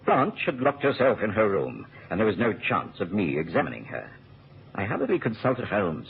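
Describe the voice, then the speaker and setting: An actor playing an earnest gentleman physician, recounting a case with dramatic storytelling in a radio drama. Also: on the low side, huge pitch swings, moderate pace, creaky, a little hiss or hum, full character voice. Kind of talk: radio drama narration and acting. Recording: tinny old radio recording.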